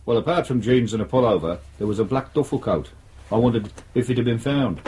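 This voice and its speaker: An ambitious, cocky police detective in a radio drama. His mid-pitched voice is husky and rough at the edges, his delivery dry, casual and colloquial. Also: fairly quick, warm tone, poor audio. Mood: guarded, dry.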